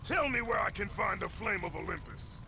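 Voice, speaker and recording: An actor playing a grim, vengeful Spartan warrior in a video game, stern, menacing and terse. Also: male voice, sits high, animated, moderate pace, gravelly, over music. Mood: cold, menacing. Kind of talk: dramatic video game voice acting. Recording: loud noise behind the voice.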